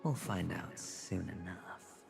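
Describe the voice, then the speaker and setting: An actor playing a cool, calculating male gambler in a video game, speaking in a measured, wry way. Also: low voice, vocal fry, music in the background, heavily acted. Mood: cool, confident. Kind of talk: dramatic video game voice acting.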